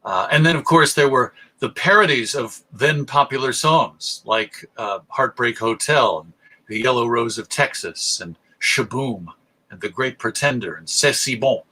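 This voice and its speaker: An American man presenting an informal public lecture, speaking in deliberate, emphatic phrases with pauses. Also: medium pitch, bright, forceful tone, slightly rough, rough recording. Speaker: presenter, radio show host.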